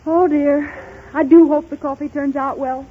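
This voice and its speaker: An actress playing a fretful, fluttery housewife in a 1930s radio advertising drama. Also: female voice, high voice, fairly even intonation, moderate pace, close to an everyday voice. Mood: anxious, polite. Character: fretful young housewife friend making coffee. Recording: tinny, noisy 1930s radio recording.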